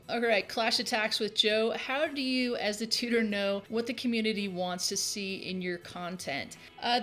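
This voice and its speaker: A woman's voice: on the high side, a bit bright. Upbeat chatty woman in her thirties. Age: 30s. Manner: chatty and upbeat, reads questions aloud then answers. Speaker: game tutorial host. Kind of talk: casual Q&A answering viewer questions.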